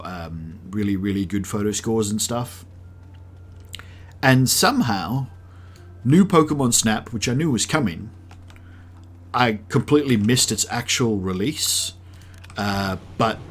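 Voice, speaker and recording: A man's voice: fairly low pitch, slight background noise. A man in his late thirties from South Africa, with an accent that mixes South African, Australian and American. He chats casually and rambles while streaming a game, thinking aloud with many ums. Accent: mixed South African, Australian and American. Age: late 30s. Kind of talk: live-stream gameplay chat.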